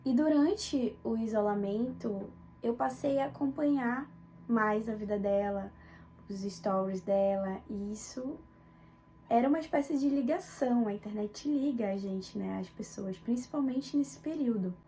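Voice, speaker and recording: Young female student presenter, northern Brazilian accent from Belém. Soft-toned, fairly high voice, recounting her own academic work, with noise behind her. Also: measured pace. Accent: northern Brazilian Portuguese, Belém. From Belém, Pará, northern Brazil. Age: young adult. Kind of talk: academic presentation, personal account.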